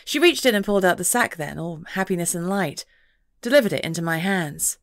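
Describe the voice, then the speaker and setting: A woman with a fairly low voice reads first-person fantasy fiction as an audiobook narrator. The recording is very dry, with no room around her. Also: animated, brisk, dry recording.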